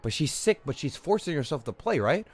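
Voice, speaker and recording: A man's voice: a little high, sing-song, a little fast. A man giving casual live commentary as a game streamer, blunt and informal, with some swearing. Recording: faint game music behind.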